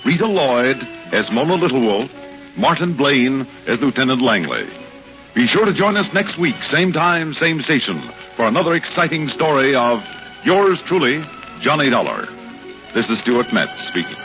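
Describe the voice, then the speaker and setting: An American man as a vintage radio announcer, formally reading credits in a polished, measured delivery. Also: medium pitch, sing-song. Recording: muffled old radio recording. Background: loud music.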